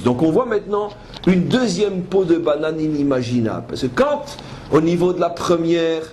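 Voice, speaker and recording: A man's voice: on the high side, darkish tone. A man giving a religious lecture as a rabbi, teaching in an emphatic, didactic way with rhetorical questions. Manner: switches between French and quoted Hebrew verses. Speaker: rabbi teaching a Torah class. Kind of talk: religious lecture with commentary on scripture. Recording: noisy live lecture recording.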